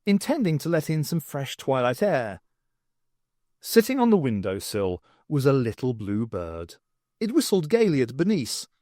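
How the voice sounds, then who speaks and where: Guy narrating an audiobook, really expressive, lots of pitch movement, dry close recording. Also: no echo. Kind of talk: scripted fiction narration.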